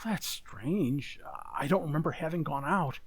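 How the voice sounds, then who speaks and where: A forgetful, mild-mannered husband in a radio mystery, acted by a man. He speaks haltingly and apologetically in a slightly high voice with a dry, close sound. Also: breathy edge, no echo. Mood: bewildered. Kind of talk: old radio mystery acting.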